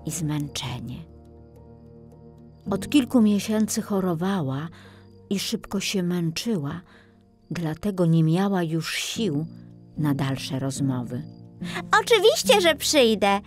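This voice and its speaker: Woman reading a kids bedtime story, gentle, slow, slightly high voice, music behind. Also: lively intonation. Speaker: audiobook narrator. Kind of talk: scripted children's bedtime story narration.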